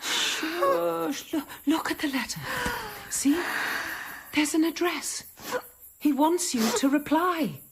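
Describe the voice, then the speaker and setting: Gentle, coaxing nun. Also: female voice, sits high, animated, takes it slowly, creaky, long pauses, exaggerated voice, low-quality recording. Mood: eager, coaxing. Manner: gentle, persuasive, soothing. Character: caring, coaxing convent nun nursing a starving girl. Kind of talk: radio drama acting and first-person narration.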